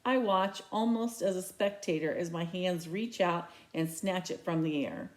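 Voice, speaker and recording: A woman's voice: fairly low pitch, measured pace. A woman reading a storybook aloud as narration, telling the tale in the first person. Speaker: read-aloud reader.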